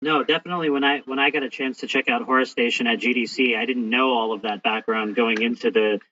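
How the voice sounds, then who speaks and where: Bright-voiced man podcast host, off-mic. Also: medium pitch, fairly even intonation, quick pace, recorded from a distance. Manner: hesitant, many restarts and fillers. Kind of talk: interview questions. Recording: hissy lo-fi audio, off-mic.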